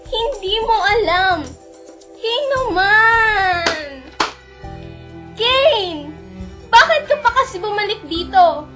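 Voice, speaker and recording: A woman's voice: extremely high pitch, exaggerated voice. A woman with a Filipino accent playing a heartbroken young woman who speaks in an emotional, pleading and accusing way. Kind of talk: amateur audio drama acting.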